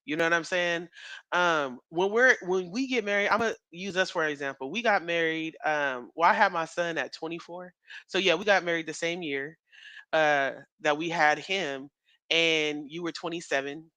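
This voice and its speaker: A man in his thirties chatting casually as a podcast co-host, rambling with fillers and quick laughs. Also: fairly high pitch, pushed and bright, no room sound. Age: 30s.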